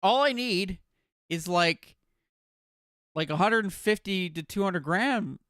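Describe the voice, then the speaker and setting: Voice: medium pitch, expressive, slow, fairly bright tone, dry recording, studio quality. A game streamer chatting casually and jokingly with viewers, playful and given to swearing. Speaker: game livestreamer.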